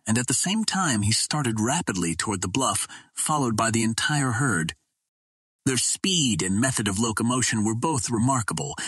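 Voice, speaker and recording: Husky man reading a story aloud. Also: fairly low pitch, fairly bright tone, no echo. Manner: first-person literary storytelling. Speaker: audiobook reader. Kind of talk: scripted story narration.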